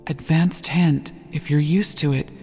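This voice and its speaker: A gentle, hushed voice guiding a sleep meditation with slow, deliberate scripted narration. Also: medium pitch, fairly even intonation. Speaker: meditation guide. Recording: long reverb over background music. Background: meditation music.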